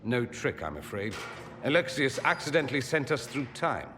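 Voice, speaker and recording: A man's voice: mid-range voice, big pitch swings, normal speed, lightly acted. A man playing an urbane, sardonic mage in a fantasy game, wry and articulate. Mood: earnest. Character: urbane, sardonic male mage, quick-witted and theatrical. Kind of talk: dramatic fantasy game dialogue. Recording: reverberant hall, noisy game ambience.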